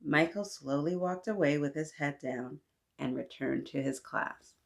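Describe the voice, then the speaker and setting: A woman reading a children's story expressively, adding animated asides to young listeners. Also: deep voice, a little slow, creaky. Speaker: children's story reader and host.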